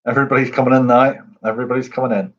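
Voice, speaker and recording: A man's voice: medium pitch, flat delivery, rapid-fire. A man hosting a pub quiz livestream, chatting and greeting viewers by name. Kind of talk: casual livestream chat. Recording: dull lo-fi stream audio.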